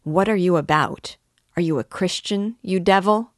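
A woman voicing an indignant old man who shouts scolding reproaches, in an audiobook. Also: on the low side, moderate pace, no echo, studio quality, lightly acted. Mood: outraged. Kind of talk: audiobook character voice.

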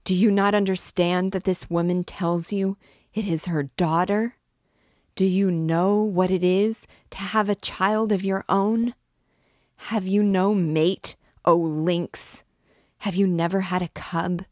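A woman's voice: fairly low pitch, steady tone, takes it slowly, a little mellow, dry recording, clean studio sound, voice pushed up. A woman voicing a wild, grieving recluse mother who shrieks and pleads desperately for her daughter. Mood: furious pleading.

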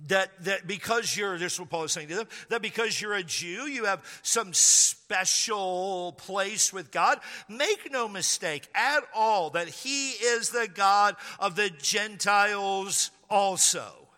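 A man's voice: high voice, slow, pushed and bright. A man preaching a sermon as pastor of his church, driving points home with emphatic repetition. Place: church sanctuary. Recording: long reverb of a hall.

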